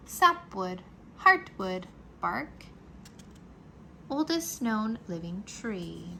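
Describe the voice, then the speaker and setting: Bright, animated woman reading to children. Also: medium pitch, gravelly, a little hiss or hum. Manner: expressive, deliberate enunciation for young listeners. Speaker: teacher reading a children's book aloud. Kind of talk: children's read-aloud narration, rhyming nonfiction.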